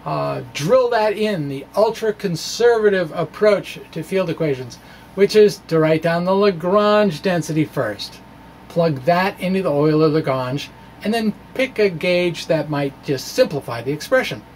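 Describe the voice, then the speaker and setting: A man's voice: a little high, expressive, unhurried, a little mellow, slight background noise. A middle-aged man hosting a science video blog, reading in a quirky, wry, dramatic way with casual asides. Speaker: host of a physics video blog. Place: small indoor room with bookshelves. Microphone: stand microphone just below the face.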